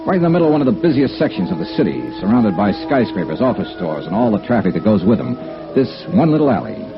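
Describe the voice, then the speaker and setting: An actor playing a wry, dogged insurance investigator in clipped, casual 1950s radio noir style. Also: male voice, fairly low pitch, big pitch swings, quick pace. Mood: wry narrating. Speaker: lead actor and narrator of a radio detective series. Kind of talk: 1950s radio noir acting and first-person narration. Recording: muffled vintage radio recording with music.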